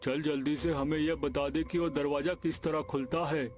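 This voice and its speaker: A man playing a greedy, excitable village schemer in a cartoon story, speaking in exclamations. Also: a little high, normal speed, close to an everyday voice. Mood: threatening. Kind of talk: Hindi cartoon story acting. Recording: music behind the voice.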